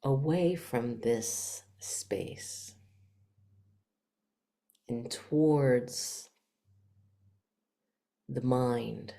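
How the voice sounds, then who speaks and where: A woman leading a guided meditation as a teacher, speaking in short, hushed phrases with long pauses between them. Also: rumbling low, gravelly. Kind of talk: guided meditation, spiritual talk.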